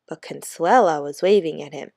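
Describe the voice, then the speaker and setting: A woman's voice: deep voice, moderate pace, creaky, darkish tone, dry recording, studio quality. A woman reading a children's book aloud expressively, like a teacher with a class text.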